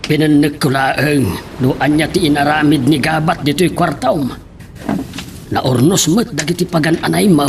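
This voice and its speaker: A man's voice: a little high, steady tone, moderate pace, close to an everyday voice. A man with an Ilocano accent playing a scheming older father in a radio drama. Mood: secretive, probing. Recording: noisy radio drama recording.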